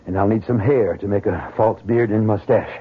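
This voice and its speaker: An actor playing a fervent, haunted Southern soldier in an old-time radio drama, speaking in a halting, earnest way with a husky voice. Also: male voice, lowish voice. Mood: confident, scheming. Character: fervent Southern soldier, haunted man sure of his miracle. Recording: dull lo-fi old radio recording.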